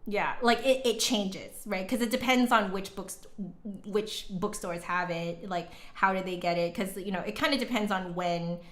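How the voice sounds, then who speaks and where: A woman's voice: medium pitch, normal speed, a bit bright. A woman with a mid-range voice chats casually as a livestream host. She talks to her viewers in a rambling, giggly way, drifting into asides as she goes.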